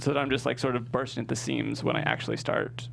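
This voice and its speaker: Gravelly-voiced man chatting in an interview. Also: mid-range voice, wildly swooping pitch, quick pace. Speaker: screenwriter being interviewed. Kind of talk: casual interview chat. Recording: faint hum and background noise.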